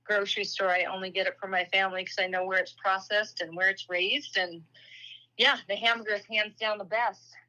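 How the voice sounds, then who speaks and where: A woman from Montana chatting on a livestream, easygoing and quick to laugh. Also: medium pitch, steady tone, pushed and bright, dull audio. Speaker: company boss, guest on stream. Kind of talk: casual livestream chat.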